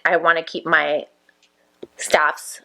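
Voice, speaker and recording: Low, gravelly-voiced woman chatting. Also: little pitch movement, slow pace, bright, forceful tone, low-quality recording. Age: 30s. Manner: chatty, reflective, full of "like" fillers. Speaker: vlogger and makeup business owner. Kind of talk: casual chat to camera while doing makeup.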